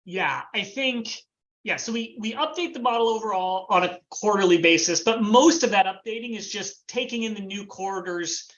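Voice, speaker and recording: A man's voice: sits high, fairly bright tone. Man explaining finance on a dull call. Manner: measured, explanatory, frequent "um" and "sort of". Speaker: former finance planning head, guest. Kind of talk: explaining a business model on a video call. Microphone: video call.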